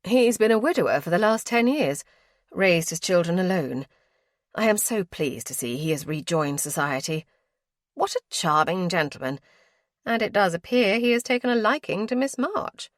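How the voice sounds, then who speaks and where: A woman's voice: lowish voice, lively intonation, moderate pace, dry recording, clean studio sound. A woman voicing a fussy older aristocratic aunt who is busy matchmaking, speaking in a genteel, coaxing way. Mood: delighted.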